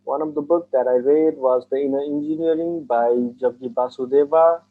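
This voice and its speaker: A man's voice: fairly high pitch, steady tone, moderate pace. A young man in his twenties from Nepal, a native Nepali speaker, answering mock speaking-test questions in earnest, formal phrasing with frequent restarts. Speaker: test candidate. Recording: tinny phone-like call audio.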